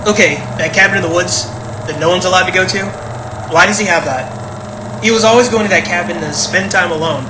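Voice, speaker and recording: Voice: mid-range voice, a little fast, lightly acted. An actor playing an uneasy, doubtful grown child in a naturalistic audio drama, talking in a hesitant, conversational way. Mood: insistent, suspicious. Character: uneasy, doubtful grown child who suspects dad of murder. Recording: roomy, echoey with clear background noise.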